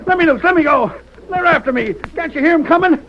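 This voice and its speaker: An actor playing a panicked outlaw on the run, frantic and pleading, in old-time radio western style. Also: male voice, extremely high pitch, lively intonation, quick pace. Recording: muffled old radio broadcast, loud noise.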